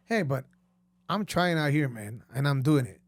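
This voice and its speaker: A man with a Costa Rican Spanish accent, co-hosting a casual podcast chat in a relaxed way. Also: mid-range voice, normal speed, dry recording. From Costa Rica. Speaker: podcast co-host.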